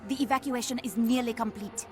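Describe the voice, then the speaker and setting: An actress playing a composed, dryly witty young princess and scientist in a video game. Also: female voice, on the high side, fairly even intonation, brisk, a little mellow, faint music behind, close to an everyday voice. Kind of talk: cinematic video game acting.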